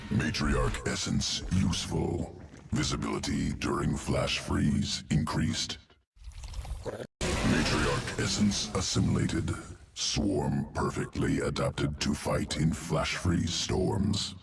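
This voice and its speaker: A man voicing a cold, obsessive insectoid alien geneticist in a video game, speaking in clipped, telegraphic phrases with a guttural croak. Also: slow, a little hiss or hum, heavily acted. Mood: cold, clinical. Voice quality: deep guttural gravelly croak. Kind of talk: video game character dialogue. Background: music.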